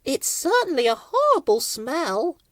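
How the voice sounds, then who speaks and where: A man's voice: very high-pitched, very animated, measured pace, fairly bright tone, no echo, voice pushed up, full character voice. A man voicing a prim, kindly, sensible female garden weed in a children's story. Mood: concerned.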